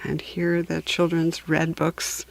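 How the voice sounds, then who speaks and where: A woman reading a children's storybook aloud for beginning readers, deliberate and patient, with chatty asides to listeners. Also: low-pitched, completely flat delivery, vocal fry, rough recording. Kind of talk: slow read-aloud storybook narration for beginners.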